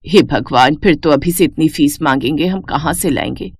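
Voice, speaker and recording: A woman voicing a humble, caring forty-year-old village mother who speaks in a gentle, homely, respectful way in a cartoon story. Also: low voice, moderate pace, breathy edge, dry recording, clean studio sound, pitched below the performer's own voice. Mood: worried, motherly.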